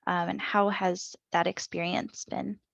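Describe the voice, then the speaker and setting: A woman moderating a panel discussion, asking polite, measured questions. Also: on the low side, flat delivery, gravelly, no room sound. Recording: dull dry audio.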